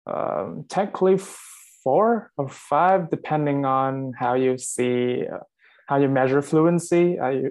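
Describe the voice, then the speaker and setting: Guest guy on a call, earbud mic, lots of um and technically, mid pitch. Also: takes it slowly, a little mellow. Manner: hesitant, many fillers and "technically". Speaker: guest podcaster. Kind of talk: casual interview chat. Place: remote video call. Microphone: earphones with built-in microphone.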